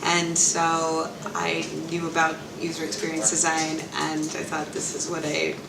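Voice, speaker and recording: A woman's voice: deep voice, monotone, unhurried, creaky. A woman, a bootcamp graduate, talking about the course with emotional enthusiasm. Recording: echoey room, distant mic, crowd noise. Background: crowd chatter.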